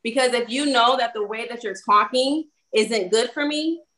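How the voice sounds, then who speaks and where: Assertive woman with a higher voice. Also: pushed and bright. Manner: assertive, quick to push back. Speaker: podcast co-host. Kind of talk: casual debate chat.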